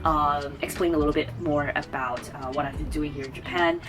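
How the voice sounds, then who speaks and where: A woman's voice: sits low, fairly even intonation, brisk. A woman in her twenties from Taiwan, chatting to camera as a vlogger, rambling with many fillers and restarts. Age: 20s. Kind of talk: casual chat to camera.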